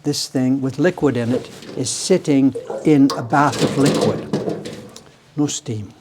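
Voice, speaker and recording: A man's voice: mid-range voice, a little slow, background noise. A man in his seventies chatting casually on a live stream while demonstrating his workshop tools, rambling and breaking into amused laughter. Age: 70s.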